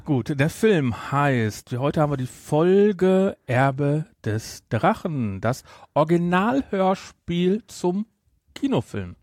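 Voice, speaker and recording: A man's voice: fairly high pitch, sing-song, slow, darkish tone, no echo. Man hosting a podcast, dry close sound. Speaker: podcast host. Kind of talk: casual podcast chat.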